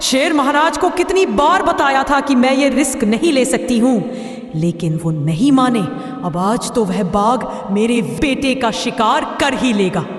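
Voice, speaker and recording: A woman's voice: fairly high pitch, a little slow, natural voice. A woman voicing an anxious, protective mother elephant in an animal cartoon, pleading and fretful. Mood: fearful. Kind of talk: Hindi animal cartoon voice acting. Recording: distant, echoey reverb under music.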